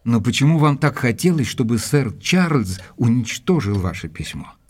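An actor playing an earnest doctor who narrates his investigation in an audio drama, with a measured, probing delivery. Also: medium pitch, lively intonation, a little mellow, slightly rough, no room sound. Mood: insistent questioning.

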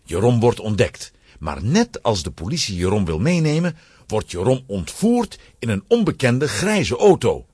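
A man's voice: mid-range voice, wildly swooping pitch, moderate pace, a little mellow, slightly rough, no echo, studio quality. A man narrating a children's audio drama from a script, as a storyteller.

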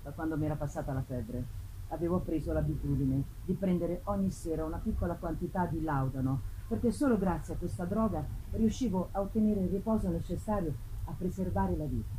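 A woman voicing a tormented young man consumed by guilt, in an intense, halting theatrical reading of a gothic novel. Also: lowish voice, voice pushed down, close to an everyday voice. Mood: somber, weary. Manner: intense, halting, emphatic repetitions. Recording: live festival recording with background noise.

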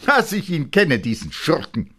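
A man acts out a defiant young boy from a dramatic audiobook, pitched well up. The child is agitated and accusing, pressing each phrase with vehement emphasis. The voice is husky and swings widely. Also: huge pitch swings, measured pace, pushed and bright, full character voice. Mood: heated, insistent. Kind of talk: dramatic literary audiobook acting.

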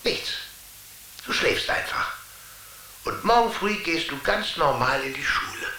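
An actor playing a kindly, scheming being from a television world in a radio drama, speaking colloquially and conspiratorially. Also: male voice, fairly high pitch, lively intonation, measured pace, pushed and bright. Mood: assured, confiding. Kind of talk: German radio drama acting. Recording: roomy sound with faint hiss.

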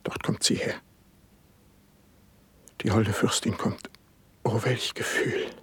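Brooding, low-voiced poet. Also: male voice, fairly even intonation, slow, a bit bright, slightly rough, whispered, heavily acted. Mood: bitter, agitated. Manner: declaimed, fervent stage diction. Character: sensitive, brooding young male poet, melancholy and driven. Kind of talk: German classical verse radio drama.

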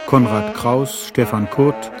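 A man's voice: medium pitch, steady tone, takes it slowly. A man announcing a radio drama and its cast in a formal, scripted manner. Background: prominent music.

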